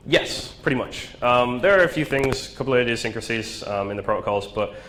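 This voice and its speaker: A man's voice: mid-range voice, animated, brisk, fairly bright tone, a little hiss or hum, poor audio. A man with a nasal, flu-stuffed voice giving a casual tech talk at a meetup, with lots of ums and "right?". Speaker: presenter. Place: meetup venue.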